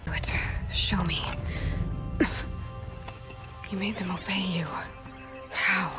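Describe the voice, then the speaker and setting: Actress as young female hunter: medium pitch, firm, earnest and defiant, game drama with music behind. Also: little pitch movement, unhurried, natural voice. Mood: hushed, intent. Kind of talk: dramatic video game acting.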